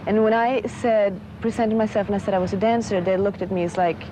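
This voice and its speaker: A woman's voice: medium pitch, fairly even intonation, a little fast, background noise. A woman, a dancer, speaking reflectively as if in an interview. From Norway. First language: Norwegian. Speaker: dancer and model.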